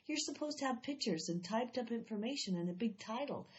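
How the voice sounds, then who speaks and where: A woman's voice: on the high side, lively intonation, brisk, highs cut off, lightly acted. A woman voicing a know-it-all schoolboy brother who lectures in a bossy way.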